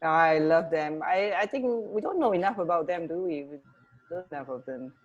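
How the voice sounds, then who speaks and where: A woman's voice: fairly low pitch, lively intonation, a little fast, highs cut off. A woman co-hosting a casual radio chat, phrasing things a little hesitantly. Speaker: radio co-host.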